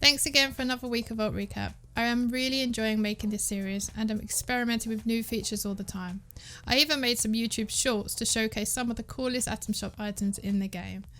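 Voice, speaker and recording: A woman's voice: fairly high pitch, fairly even intonation, a little fast, sharp tone, slight background noise. A woman narrating a scripted gaming news recap as the host of an online show.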